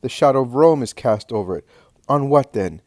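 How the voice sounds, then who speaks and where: A man's voice: mid-range voice, lively intonation, moderate pace, a little mellow, no echo, clean studio sound, close to an everyday voice. Man as a fierce, thundering, defiant woman. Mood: scornful, proud. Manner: thundering, commanding. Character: fierce, defiant woman of absolute, imperious faith.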